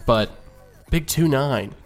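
A man's voice: mid-range voice, lively intonation, slow pace. Laid-back male podcast co-host, faint music. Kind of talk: casual sports podcast chat.

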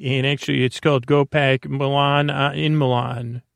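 Guy w/ crackly fry voice doing silly meandering podcast monologue, super dry sound, keeps losing his thread. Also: medium pitch, flat delivery, no echo. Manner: meandering, halting, repeats and trails off mid-thought; gently silly, playful wordplay. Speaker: podcast host. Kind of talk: rambling, whimsical bedtime-style monologue.

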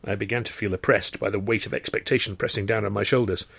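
A man's voice: on the low side, fairly even intonation, fast talker, creaky, fairly bright tone, breathy edge, dry recording. A man reading a first-person novel aloud as scripted audiobook narration. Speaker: author reading his own novel, narrator.

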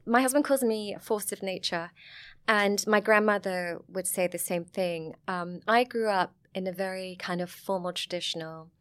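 A middle-aged English woman from Sussex talks in a frank, chatty way, her accent plainly southern English. Her pitch sits at an ordinary middle level, and she laughs easily between her thoughts. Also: normal speed, fairly bright tone, clear, resonant tone. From England. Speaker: guest. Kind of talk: casual interview chat.

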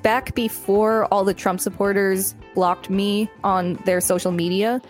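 A woman's voice: mid-range voice, steady tone, unhurried, faint music behind. A woman co-hosting a podcast, reading articles aloud and chatting casually about them. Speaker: podcast co-host.

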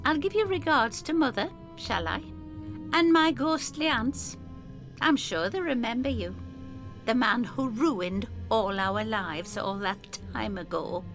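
Woman playing a determined young woman, clipped resolute delivery, slightly gravelly, slow. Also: high-pitched, sing-song, faint music behind, voice pushed up, heavily acted. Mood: cutting. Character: determined young woman leaving her father.

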